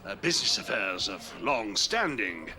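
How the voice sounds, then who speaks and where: An actor playing a troubled, broken elderly father in an audio drama, halting, stammering and repeating his words. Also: fairly low pitch, sing-song, sharp tone, heavily acted. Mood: flustered, halting. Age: older. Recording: music behind the voice.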